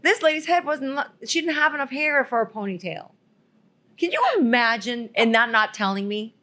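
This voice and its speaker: A woman co-hosting a podcast and telling a story in casual chat, excitable and often laughing. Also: a little high, normal speed. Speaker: podcast co-host.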